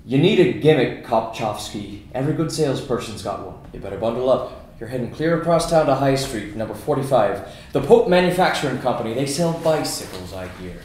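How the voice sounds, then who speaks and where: An actor playing a gruff but fatherly Irish newspaper editor of fifty, brisk and bossy, at a screenplay table read. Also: mid-range voice, lively intonation, a little mellow, lightly acted. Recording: very echoey room.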